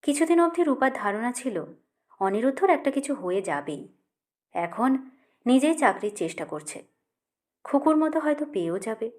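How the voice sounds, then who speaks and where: A woman's voice: a little high, sing-song, normal speed, pauses often, darkish tone. A woman reading a literary short story as an audiobook narrator.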